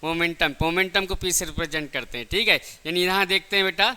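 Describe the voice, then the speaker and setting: A male physics teacher gives an exam-prep lesson in a very bright, crisp voice. He explains step by step with plenty of repetition. He poses short questions and then answers them himself. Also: high-pitched, fast.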